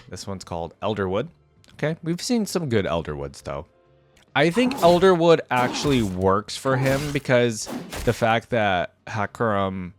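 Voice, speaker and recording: Man with lively, swooping casual delivery. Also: on the low side. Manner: informal, exclamatory, thinking aloud. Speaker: reaction video host. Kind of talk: casual off-the-cuff reaction commentary.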